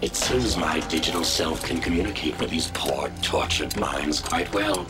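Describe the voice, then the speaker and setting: A megalomaniac cyborg villain from a video game. The voice is very deep and swings widely as he gloats and mocks. Loud music plays behind him. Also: expressive, moderate pace. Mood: mocking, gloating. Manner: theatrical, mocking, swooping from menace to glee. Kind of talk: video game cutscene acting.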